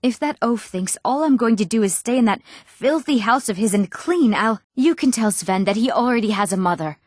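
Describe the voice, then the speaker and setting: A woman playing an eager, earnest young townswoman in a fantasy video game, with expressive, clearly enunciated delivery. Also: a little high, fairly quick, no room sound, clean studio sound. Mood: indignant. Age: young adult. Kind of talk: scripted fantasy game character dialogue.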